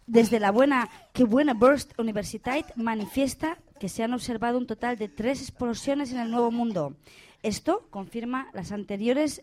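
A woman's voice: fairly high pitch, a little mellow, natural voice. A woman playing a bossy, officious female radio anchor in a parody radio drama, with theatrical announcer delivery.

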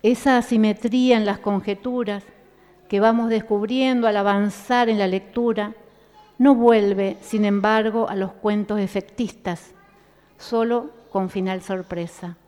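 A woman presenting a book at a live event, speaking casually with fillers and then reading a prologue aloud in measured phrases. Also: medium pitch, fairly even intonation, a little mellow, clear, resonant tone. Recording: reverberant hall.

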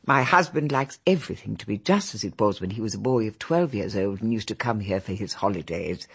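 A woman's voice: very deep voice, expressive, a little fast, husky, exaggerated voice. An actress playing a genteel, confiding elderly English grandmother and hostess who rambles on in a radio drama. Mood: chatty, fond. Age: older.

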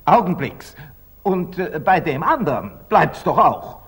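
An actor playing a wise, dignified, middle-aged merchant in measured, solemn declamation, in the style of 1940s radio drama. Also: male voice, fairly high pitch, very animated, a bit bright, husky, heavily acted. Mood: eager.

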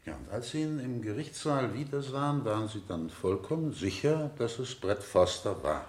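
A man acting a sarcastic male defence lawyer in a radio courtroom drama. His pitch swoops widely as he needles and insinuates, and the room around him echoes strongly. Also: lively intonation, moderate pace, close to an everyday voice. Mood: probing. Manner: sarcastic, insinuating cross-examination. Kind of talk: radio play courtroom dialogue. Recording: roomy 1970 radio drama studio.

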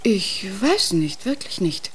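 A woman's voice: mid-range voice, sing-song, slow pace, husky, full character voice. A woman acting a sensible, sceptical, concerned confidante in a German radio drama, pointed and questioning. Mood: concerned, probing. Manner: pointed, matter-of-fact, questioning.

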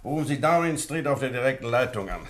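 Actor as a brisk, commanding government minister. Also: male voice, mid-range voice, lively intonation, close to an everyday voice. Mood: urgent. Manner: authoritative, brisk, formal. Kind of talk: German radio play acting.

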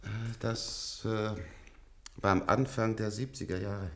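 A man's voice: lowish voice, unhurried, fairly bright tone. A male actor playing a hesitant, doubting man in a German radio play, speaking in a polite, questioning way. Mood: halting, reminiscing. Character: hesitant, doubting man recalling his youth as research subject.

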